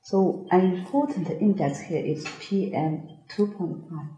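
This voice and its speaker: A woman leads a scripted student discussion, asking measured questions of the group. Her voice sits a little low and mellow. The room around her echoes noticeably. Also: highs cut off.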